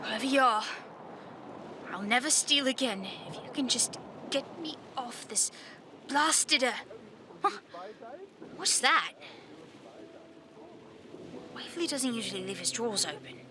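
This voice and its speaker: Sarcastic, anxious young lad: high, slow, swooping whine with clear background noise. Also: female voice, lively intonation. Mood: sarcastic, pleading. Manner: whiny, sarcastic. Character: anxious, grumbling young boy who hates boats.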